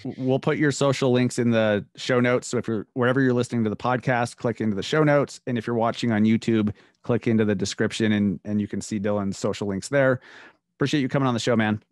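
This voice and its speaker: A man in his thirties hosts a podcast from a home room, speaking into a boom-arm studio mic. His voice sits at an average male pitch and sounds dry with no room echo. He keeps things relaxed and conversational. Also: fast. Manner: relaxed, conversational, brief affirmations. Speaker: podcast host. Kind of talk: casual interview chat. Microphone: studio microphone on boom arm.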